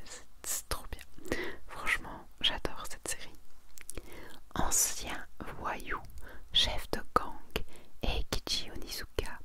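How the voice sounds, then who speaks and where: Whispering woman doing soft ASMR. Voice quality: whispered, breathy, almost entirely unvoiced. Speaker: ASMR content creator. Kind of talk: soft whispered ASMR, presenting and reading manga summaries.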